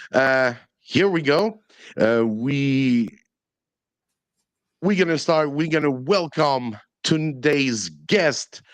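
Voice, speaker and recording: Slow-talking upbeat male stream host. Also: medium pitch, fairly bright tone, dry recording. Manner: enthusiastic, hypes the audience. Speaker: live stream host and English teacher. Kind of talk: upbeat live stream hosting.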